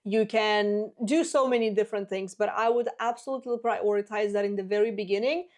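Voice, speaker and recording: A woman's voice: medium pitch, brisk, darkish tone. A woman in her twenties whose first language is Russian, hosting a solo business advice show in a direct, practical way. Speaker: business podcast host.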